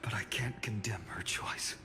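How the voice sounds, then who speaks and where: Actor as lowish, soft, regretful hero. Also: male voice, moderate pace, over music, close to an everyday voice. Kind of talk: scripted game dialogue.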